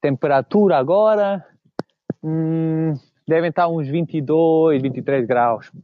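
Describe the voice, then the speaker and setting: A man with a European Portuguese accent explains his trading views patiently, pausing on "ah" fillers. His voice sits a little high and comes through a dull, dry call line. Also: no room sound. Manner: measured, explanatory, with many "ah" fillers. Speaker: guest, trader. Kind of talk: casual interview over a call. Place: outdoors on a beach walk. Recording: muffled call audio, dry.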